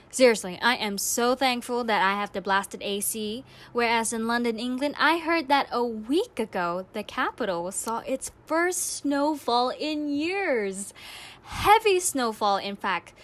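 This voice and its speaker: Casting: woman in her twenties, higher-pitched voice, upbeat scripted delivery as a radio-style podcast host. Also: fairly bright tone. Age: 20s. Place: indoors, air-conditioned room in Malaysia.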